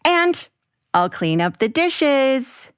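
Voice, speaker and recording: A woman's voice: high-pitched, big pitch swings, drawn-out words, smooth, no room sound, clean studio sound, pitched above the performer's own voice, full character voice. A woman voicing an eager, earnest little girl in a children's storybook, with a wide-eyed, sing-song manner. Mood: eager, pleading.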